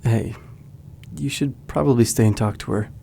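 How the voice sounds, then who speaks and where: A man playing a steady, protective young friend, easygoing and reassuring, in naturalistic audio drama style. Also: medium pitch, normal speed, vocal fry, slight background noise, close to an everyday voice. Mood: helpful.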